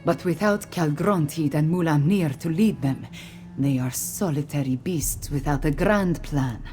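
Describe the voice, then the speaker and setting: A woman voicing a weary, regal cat-folk warrior queen in a video game, speaking formally and earnestly in measured phrases. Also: low voice, a little mellow. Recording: game music behind the voice.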